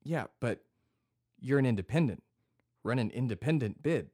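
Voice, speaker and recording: Man playing a laid-back, deadpan podcast producer. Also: medium pitch, normal speed, lightly acted. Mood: urging. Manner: hesitant, casual, deadpan. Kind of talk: scripted comedy podcast dialogue.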